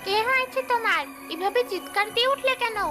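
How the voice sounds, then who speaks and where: A woman's voice: very high-pitched, a little fast. A woman voicing a trusting, anxious village mother in a cartoon story, earnest and melodramatic. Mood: alarmed. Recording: music bed under the dialogue.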